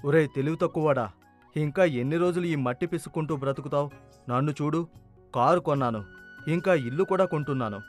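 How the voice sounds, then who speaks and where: A greedy, arrogant village elder brother , acted by a man, with a fairly high male voice. He talks in a brash, insistent way in a storybook tale, with music softly underneath. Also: brisk, lightly acted. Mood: scornful.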